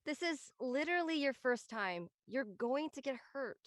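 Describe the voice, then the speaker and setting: Woman acting young female student, highish voice, dry sound, earnest, exasperated, protective, audio drama. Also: expressive, measured pace, no room sound. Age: young adult. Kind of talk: acted audio drama dialogue.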